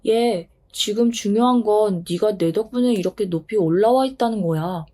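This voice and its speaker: A woman's voice: mid-range voice, moderate pace, mellow, natural voice. A woman voicing the wind as a playful, boastful newborn figure speaking eagerly and breezily.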